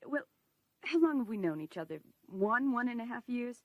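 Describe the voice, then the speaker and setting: A woman's voice: fairly high pitch, sing-song, moderate pace, no room sound. A woman playing an earnest, polite secretary to an Emperor in a science fiction audio drama, speaking confidingly. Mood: hesitant, apologetic.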